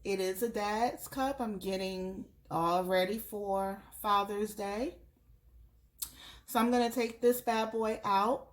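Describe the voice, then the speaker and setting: Slow-talking woman crafting at home. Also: medium pitch. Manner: slow, relaxed, talks while working. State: sniffly with allergies. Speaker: crafter showing a tumbler project. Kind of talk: casual craft tutorial.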